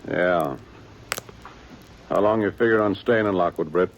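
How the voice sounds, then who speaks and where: An actor playing a weary, dutiful aging small-town sheriff with a plain folksy drawl in a 1950s radio drama. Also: male voice, low voice, measured pace, highs cut off. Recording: old radio broadcast with faint hiss.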